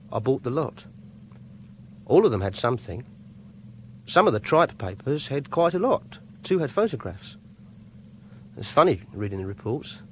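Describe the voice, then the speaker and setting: A man's voice: mid-range voice, slight background noise, lightly acted. An actor playing an awkward, obsessive young captor in a radio drama, hesitant and insistent in his speech. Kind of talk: radio drama acting with confessional narration.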